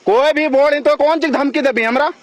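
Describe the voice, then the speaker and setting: Very high-pitched man arguing on a phone recording. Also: brisk. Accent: Jharkhand, India. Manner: confrontational, challenging, repetitive. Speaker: local party representative. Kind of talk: heated phone argument. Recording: recorded phone call, crowd chatter behind.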